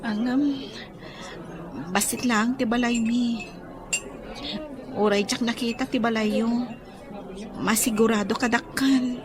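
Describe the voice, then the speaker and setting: An actress with a Filipino accent playing a modest, earnest young daughter in a radio drama. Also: female voice, on the high side, fairly even intonation, slow, pauses often, crowd noise. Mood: bashful.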